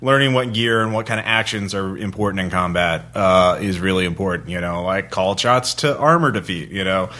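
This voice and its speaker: A man with a fairly low voice talks casually as a podcast co-host and tabletop player. He rambles, circles back over his phrases and drops in casual swearing. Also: a little fast, hardly any pauses, sharp tone. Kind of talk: casual chat.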